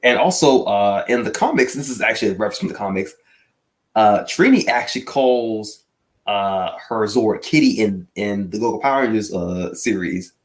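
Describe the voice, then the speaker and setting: A man co-hosting a podcast, explaining things casually in a fan discussion with a hesitant, halting delivery. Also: fairly low pitch, expressive. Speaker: podcast co-host and reviewer.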